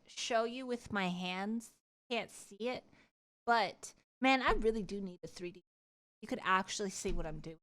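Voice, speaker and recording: A high-voiced woman streamer talks casually to her viewers. She is animated and giggly, rambling from one thought to the next as she tells her stories in a conversational livestream style. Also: clear, resonant tone. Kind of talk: casual livestream chat and storytelling.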